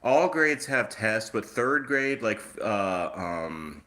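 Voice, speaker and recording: A man's voice: on the low side, sing-song, slow pace, sharp tone. A man hosting a casual live stream on his phone, chatty and easygoing, with a lingering cough that leaves his voice a little off. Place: outdoors, then indoors at home.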